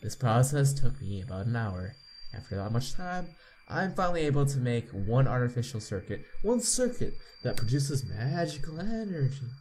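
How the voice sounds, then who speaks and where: A man's voice: medium pitch, big pitch swings, darkish tone, slight background noise, low-quality recording. A man hosting a game playthrough, reading the text aloud with asides and often stumbling and repeating words. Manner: halting reader, repeats misread words. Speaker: playthrough host.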